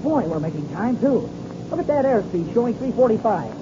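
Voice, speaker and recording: An actor playing an eager, confident young pilot hero with boyish slang in a 1930s radio adventure serial. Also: male voice, very high voice, very animated, brisk. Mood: excited confidence. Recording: dull, noisy old radio recording.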